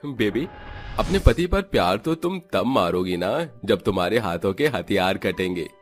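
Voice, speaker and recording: A man's voice: fairly low pitch, sing-song, moderate pace. A man playing a frustrated young husband in a cartoon story, complaining and reproachful. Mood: teasing. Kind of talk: Hindi cartoon story character acting. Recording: music under the voice.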